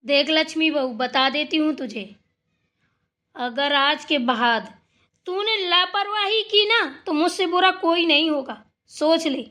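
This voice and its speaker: A woman's voice: sits high, a little slow, pushed and bright. A woman voicing a scolding, short-tempered village mother-in-law in a Hindi family cartoon, nagging and sarcastic. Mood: angry, insulting.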